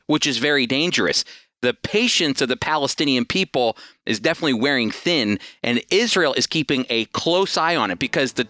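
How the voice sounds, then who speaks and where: Earnest, bright-voiced male radio Bible teacher. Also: medium pitch, dry recording, studio quality. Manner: earnest conversational preaching with fillers.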